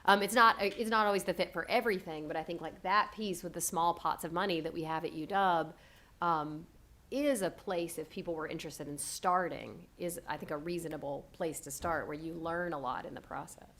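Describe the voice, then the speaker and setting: A woman's voice: fairly low pitch, brisk, fairly bright tone. A woman on a panel explaining how a research method works. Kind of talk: panel discussion.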